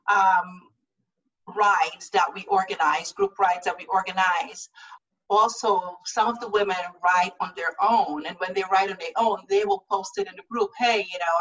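A woman's voice: medium pitch, a bit bright, distant mic. A woman speaks in an easy, earnest way and repeats words as she thinks. She sits a little back from the mic, and the recording sounds muffled. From Guyana. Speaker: guest, cycling group organizer. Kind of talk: casual interview talk.

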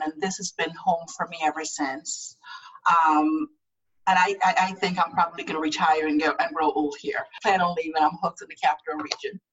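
A woman's voice: fairly low pitch, a bit bright, recorded from a distance, muffled recording. A woman from Guyana, a guest telling about her cycling group in an earnest, casual way that repeats words as she goes. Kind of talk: casual interview talk.

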